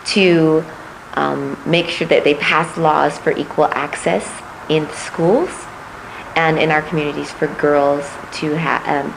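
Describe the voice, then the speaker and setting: Low-voiced reflective woman candidate. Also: vocal fry, noisy background. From Puerto Rico, raised in Missouri. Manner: measured and reflective, frequent "um" pauses. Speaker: political candidate. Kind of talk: interview answers.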